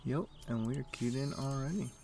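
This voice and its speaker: A man's voice: mid-range voice, normal speed, gravelly, darkish tone, slight background noise. A man with a morning voice giving casual live commentary on a game stream, chatting informally to viewers in gamer slang. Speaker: game livestreamer. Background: music.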